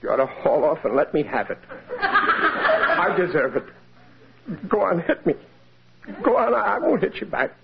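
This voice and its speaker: A man's voice: high voice, huge pitch swings, moderate pace, vocal fry, long pauses, over a noisy crowd, exaggerated voice. An American actor playing a bumbling, sly working-class husband and father in an old radio sitcom, stammering and exaggerating his lines. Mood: earnest, exasperated. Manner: hesitant, stammering, exaggerated delivery. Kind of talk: 1940s-50s American radio sitcom acting. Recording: noisy, muffled old radio broadcast.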